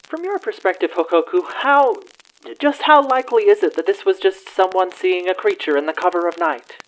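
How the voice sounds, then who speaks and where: An actor plays an earnest evening news anchor in a radio drama. The phrasing is formal and theatrical, delivered in measured announcer fashion at a medium pitch. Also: lightly acted, rough recording. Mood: attentive, formal.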